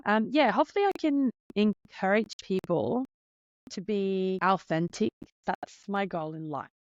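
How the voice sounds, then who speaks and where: A woman's voice: medium pitch, unhurried, no echo, dull audio. A woman in her thirties from Finland with a Finnish accent, hosting her own podcast in an earnest, openly nervous, heartfelt way. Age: 30s. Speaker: podcast host. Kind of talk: solo podcast monologue.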